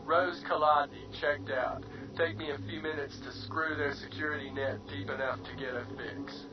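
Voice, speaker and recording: A man voicing a male dead hacker's computer construct, quiet, flat and offhand. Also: on the high side, moderate pace, far from the mic, muffled recording, over music, lightly acted.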